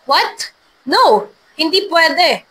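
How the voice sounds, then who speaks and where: A woman voicing a disbelieving, hurried male company boss in a dramatized story reading. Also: extremely high pitch, big pitch swings, a bit bright, pitched above the performer's own voice, exaggerated voice.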